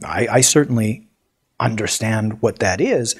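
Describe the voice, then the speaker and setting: A man co-hosting a casual podcast, telling stories in a lively way with lots of restarts. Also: lowish voice, a little slow, slightly rough. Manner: animated storyteller, many restarts. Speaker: podcast co-host. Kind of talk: casual chat.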